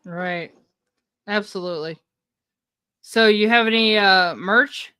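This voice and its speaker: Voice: mid-range voice, takes it slowly, bright, forceful tone, smooth, no room sound. A podcast host asking casual interview questions, with upbeat, brief, encouraging replies.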